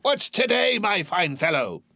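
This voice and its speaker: A man's voice: sits high, sing-song, measured pace, vocal fry, bright, forceful tone, no echo, clean studio sound, voice pushed up, exaggerated voice. A man voicing an overjoyed old miser who stammers and laughs between his words. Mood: giddy. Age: older. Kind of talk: audiobook character reading.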